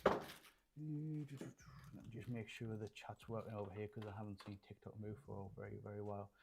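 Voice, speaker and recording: Young male streamer, lower voice, casual and loose, lots of fillers, small studio. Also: fairly even intonation, brisk, gravelly, background noise. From Poland, raised in England. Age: young adult. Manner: chatty, rambling with fillers, reads chat aloud. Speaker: livestream host building gaming PCs. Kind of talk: casual livestream chat. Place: small studio room with shelves.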